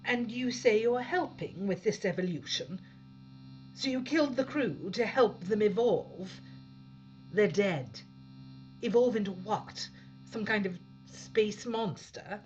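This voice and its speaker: A woman's voice: medium pitch, animated, slow pace, darkish tone, highs cut off, soft background music. An actress playing a tense woman spaceship captain in a science fiction audio drama, on a band-limited, humming recording. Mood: alarmed, incredulous.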